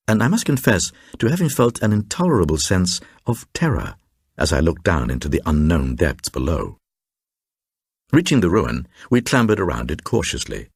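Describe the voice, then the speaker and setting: A very deep male voice, playing a grave traveller recounting an eerie radio tale. The delivery is deliberate and suspenseful, swinging widely in pitch, with a distinctly husky grain. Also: no echo, clean studio sound, exaggerated voice. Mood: uneasy, awed. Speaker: narrator. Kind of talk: dramatised first-person reading of a supernatural horror tale for radio.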